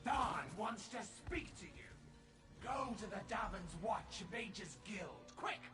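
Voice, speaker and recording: A man voicing a small, urgent imp-like creature messenger in a video game, shrill and exclamatory. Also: lively intonation, takes it slowly. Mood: urgent, excited. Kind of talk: video game character acting. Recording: echoey, with music behind.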